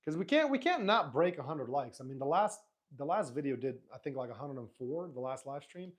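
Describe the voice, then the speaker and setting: Relaxed man hosting a live stream. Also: fairly high pitch, a little fast. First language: Arabic. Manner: relaxed, rambling, frequent restarts and fillers. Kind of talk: casual live stream chat, reading viewer comments.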